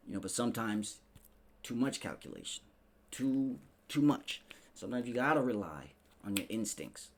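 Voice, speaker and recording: Male commentary host, low voice, sarcastic and sweary, loose street slang, noisy recording. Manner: slangy, sarcastic, mimics people in mock dialogue. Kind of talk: casual opinion commentary, profane rant. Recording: clearly noisy background.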